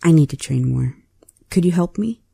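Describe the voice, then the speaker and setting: Man voicing a husky, earnest young boy. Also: fairly high pitch, lively intonation, moderate pace, mellow, dry recording, clean studio sound, voice pushed up, full character voice. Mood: earnest, unsure. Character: earnest, unsure young boy, teasing his bodyguard.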